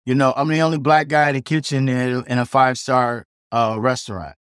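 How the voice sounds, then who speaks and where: A man's voice: mid-range voice, steady tone, brisk, smooth, no echo, studio quality. A middle-aged man, a chef, telling his story in a loose, rambling way, full of restarts and "you know" and blunt language. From South Side of Chicago. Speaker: chef guest. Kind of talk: casual storytelling interview.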